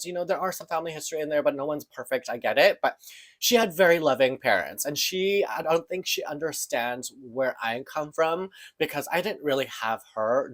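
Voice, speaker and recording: A man's voice: on the high side, fairly bright tone. A man in his thirties from the Philippines, talking through his own past in an earnest, searching, open way. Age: 30s. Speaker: podcast host. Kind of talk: personal reflective monologue.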